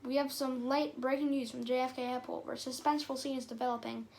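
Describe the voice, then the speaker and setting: Lady doing a bee newsman in a story, high voice, earnest, reading a news bulletin. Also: fairly even intonation, fast, vocal fry, darkish tone, poor audio. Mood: grave, announcing. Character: earnest male bee television news anchor.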